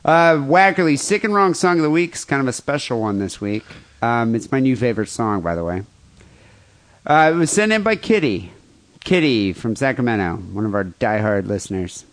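A man's voice: medium pitch, big pitch swings, normal speed. A man hosting a comedy podcast, chatting casually with crude jokes and reading out listener mail. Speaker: podcast host.

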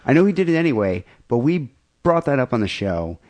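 Animated man hosting an irreverent podcast. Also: medium pitch, a little fast. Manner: irreverent, mock-apologetic. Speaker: podcast host. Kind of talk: casual comic banter.

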